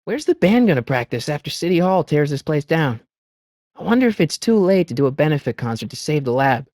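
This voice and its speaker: A man's voice: fairly high pitch, big pitch swings, fairly quick, a little mellow, no room sound, clean studio sound. A male actor playing an earnest, wisecracking teenage boy in a video game, with a youthful voice and a hesitant, slangy delivery. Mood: musing, exasperated. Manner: hesitant, stammering asides, wry slangy delivery. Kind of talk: video game character lines.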